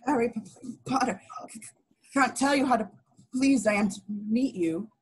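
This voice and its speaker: A woman's voice: on the high side, unhurried, husky, low-quality recording. A woman voicing a nervous, timid young male wizard professor who stutters, in a screenplay table read. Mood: nervous, flustered. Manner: stuttering, timid, breaks off words.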